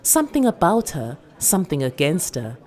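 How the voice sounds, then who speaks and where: A South African woman reads literary fiction as an actor would, with dramatic delivery. Her voice is on the low side. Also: very animated, moderate pace, darkish tone. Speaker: actor reading a short story. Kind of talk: dramatic story narration.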